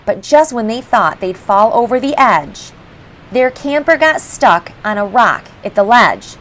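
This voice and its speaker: A woman reading a rhyming picture book aloud for children in an expressive, measured storytelling style. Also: a little high, rough recording. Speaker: storybook reader. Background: faint background music.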